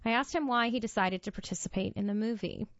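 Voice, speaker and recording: Female reader for a reading service; measured narration, close and dry, slightly muffled. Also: mid-range voice, no echo. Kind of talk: read-aloud magazine narration. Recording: dull, lo-fi dry studio voice.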